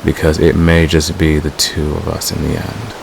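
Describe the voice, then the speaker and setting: A man playing a devoted, manipulative villain in a romantic ASMR roleplay, speaking with deliberate, possessive intensity. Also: flat delivery, exaggerated voice. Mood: somber, intimate. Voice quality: very deep, gravelly. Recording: clear background hiss.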